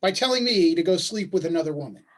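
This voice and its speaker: Man acting as a husky, sarcastic husband. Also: sits high, expressive, fast. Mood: bitter sarcasm. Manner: sarcastic, needling. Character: bitter, sarcastic husband caring for a sick child. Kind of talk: staged play reading. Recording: video call recording.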